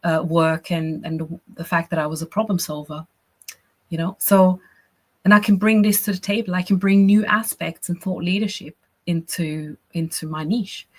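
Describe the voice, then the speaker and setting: A woman's voice: on the low side, moderate pace. A woman from Austria, a business coach teaching a live workshop in a chatty, encouraging way, often checking in with "right?" and "you know".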